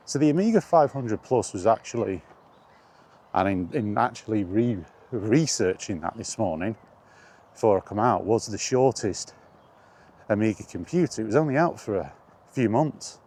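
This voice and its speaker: A man's voice: lowish voice, a little mellow. A man talking in a casual, rambling monologue, reminiscing and thinking aloud as he goes. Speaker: host of a gaming chat vlog.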